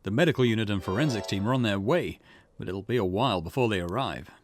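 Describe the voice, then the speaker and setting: A man voicing an excitable junior police detective, a reactive and casual sidekick in a mystery game. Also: lowish voice, quick pace, soft background music, close to an everyday voice. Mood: urgent, coaxing.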